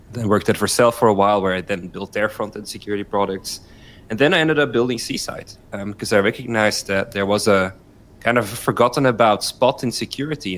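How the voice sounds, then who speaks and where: Man explaining, slightly low voice. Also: fairly bright tone. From Belgium. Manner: fluent explainer, tags points with "right?". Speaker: guest, company founder. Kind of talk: explanatory interview answers.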